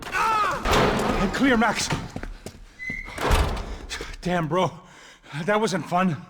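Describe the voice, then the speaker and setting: Urgent, high-pitched streetwise gunman. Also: huge pitch swings, very slow, heavily acted. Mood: harried. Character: Passos, urgent streetwise male gunman partner. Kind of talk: video game cutscene acting. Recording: loud action noise and music behind.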